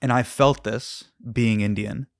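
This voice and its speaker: A man in his thirties hosting an interview, curious and probing, dropping quick yeahs and okays. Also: lowish voice, steady tone, vocal fry, no room sound, clean studio sound. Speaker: host. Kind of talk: casual interview chat.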